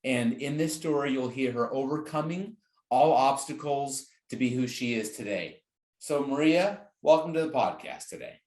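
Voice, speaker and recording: A man's voice: medium pitch, normal speed, darkish tone. Male podcast host in an echoey room. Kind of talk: conversational podcast introduction and interviewing. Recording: roomy, echoing sound.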